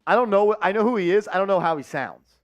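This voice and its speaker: A man hosting a live sports watch-party stream, chatting with viewers, reading the chat and calling plays excitedly. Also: high-pitched, fast, lo-fi sound, faint music behind.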